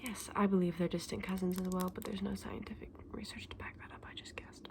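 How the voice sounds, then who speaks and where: A woman's voice: on the low side, level tone, fast. Woman playing a whispering, scholarly dragon egg seller. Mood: soft, fascinated. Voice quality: breathy, mostly whispered. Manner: hesitant, explanatory, earnest. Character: gentle, scholarly woman selling dragon eggs. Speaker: ASMR roleplay performer. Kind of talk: soft-spoken, whispered ASMR fantasy roleplay.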